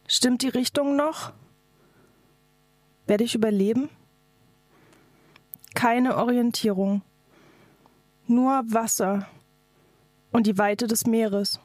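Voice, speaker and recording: A woman's voice: on the high side, steady tone, slow pace, long pauses, a little mellow, no echo. A woman activist reading out a scripted political speech. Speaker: activist speaker.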